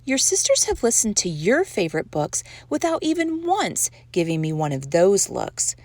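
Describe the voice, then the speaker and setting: A woman's voice: mid-range voice, very animated, moderate pace, a little mellow, no room sound. A woman voicing a doting but firm mother cat in a storybook, coaxing and singsong.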